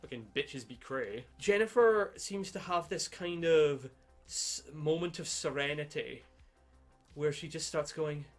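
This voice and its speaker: A man co-hosting a film podcast in casual chat, halting and rambling, with casual swearing. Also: on the high side. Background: background music.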